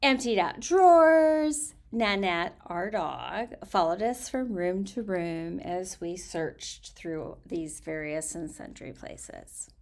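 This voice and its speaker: A woman storyteller talking casually and reading aloud with expressive, dramatic emphasis. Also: fairly low pitch, slow, few pauses.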